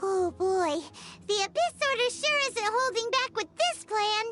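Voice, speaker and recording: Tiny excitable fairy companion, very high childlike squeak, lively swooping intonation, anime game, music in background. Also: female voice, a little slow. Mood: pondering. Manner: exclamatory, refers to self in third person. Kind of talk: anime-style video game voice acting.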